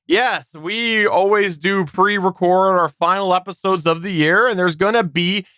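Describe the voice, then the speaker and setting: Male podcast host, fairly high crisp voice, casual discussion delivery, dry studio-like sound. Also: measured pace, bright, forceful tone, no echo.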